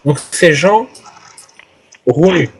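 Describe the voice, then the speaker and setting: Male prank caller posing as a guest, halting and rambling, improvised feel, noisy clicking phone recording. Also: on the high side, animated, gravelly. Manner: hesitant, rambling.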